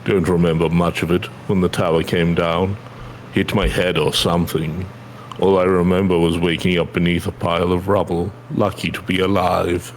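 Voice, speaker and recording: A man's voice: low voice, level tone, normal speed, slight background noise. A man voicing a weary, earnest former soldier from a fantasy game, plain-spoken and subdued. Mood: resigned, confessional. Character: weary, earnest male ex-soldier in hiding, resigned. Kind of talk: video game dialogue read aloud.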